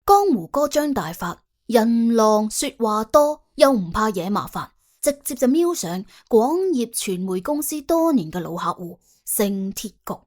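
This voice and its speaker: A woman's voice: fairly high pitch, very animated, measured pace, a little mellow. A woman with a Cantonese accent narrating an audiobook dramatically, in a wry, colloquial, exclamatory way. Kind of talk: dramatic scripted storytelling.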